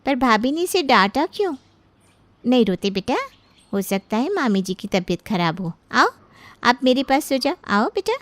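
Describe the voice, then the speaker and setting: A gentle young mother voiced by a woman for an animated family tale. Her voice is fairly high and she talks in a soothing, reassuring way. The recording is dry. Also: normal speed, no echo.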